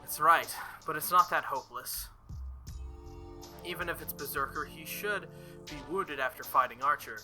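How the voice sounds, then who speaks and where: A man reading as a brisk young woman mage. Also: a little high, sharp tone, soft background music. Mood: explaining.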